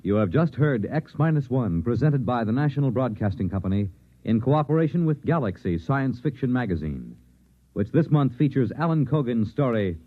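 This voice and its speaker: A man making formal announcements as a network radio announcer in 1950s style. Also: medium pitch. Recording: dull vintage radio transcription.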